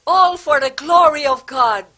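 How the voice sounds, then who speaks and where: A composed, devout prioress acted by a woman in a stage drama. She speaks slowly and solemnly in a fairly high voice, courteous but firm. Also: pushed and bright. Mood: reverent. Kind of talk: amateur historical stage play acting.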